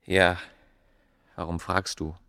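An earnest, troubled man of learning in ancient Egypt for radio drama. His voice is very low, forceful and raspy, with urgent intensity and a close, dry sound. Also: level tone, slow pace, dry recording, full character voice. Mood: anguished.

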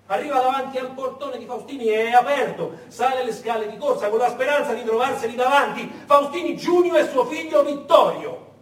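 A man performing a dramatic theatrical monologue, emphatic and deliberate, repeating words and leaving heavy pauses. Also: extremely high pitch. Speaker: solo stage storyteller. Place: echoey performance space, far from the mic. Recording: echoey, noisy, distant stage recording.

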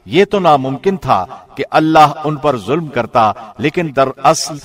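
A man, a professional radio host, giving a calm, formal studio reading of a scripture translation. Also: mid-range voice. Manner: calm, even, formal delivery.

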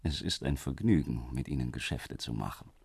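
Smooth menacing official, real deep voice, swoopy intonation, polite threats, radio crime drama. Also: male voice, animated, dry recording, exaggerated voice. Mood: cool bargaining. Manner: measured, insinuating politeness with rhetorical questions. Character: smooth, veiledly threatening government official bargaining with prisoner.

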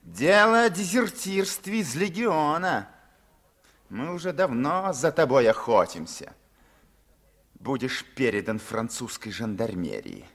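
A man's voice: mid-range voice, very animated, unhurried, fairly bright tone, heavily acted. A man acting a harsh gendarme officer who gloats over a captured deserter, heard from some distance. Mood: gloating. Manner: gloating, barking orders.

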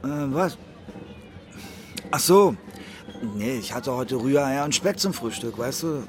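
A man's voice: medium pitch, lively intonation, takes it slowly. An actor playing a dreamy, drugged-out young psychedelic rock singer in a radio play, rambling and repeating his phrases. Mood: spacey, friendly. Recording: music behind the voice.

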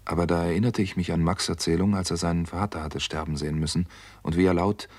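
A driven, earnest doctor in a 1960s radio thriller. His voice is very low and husky, and he tells his story with grave seriousness. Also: fairly even intonation, quick pace, a little mellow. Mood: hushed, intense. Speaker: actor narrating and playing a doctor. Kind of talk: 1960s German radio crime drama acting.

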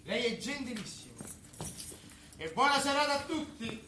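An actor playing a smooth, evasive, scheming doctor in a stage comedy. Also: male voice, very high-pitched, sing-song, moderate pace, sharp tone, distant mic, heavily acted. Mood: smooth, insistent. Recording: roomy theatre recording with background noise.

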